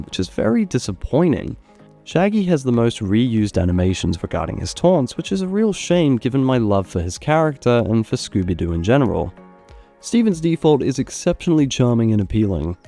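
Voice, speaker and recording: A man narrating scripted gaming ranking commentary in a measured, opinionated, critical way. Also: mid-range voice, creaky, dark tone. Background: background music.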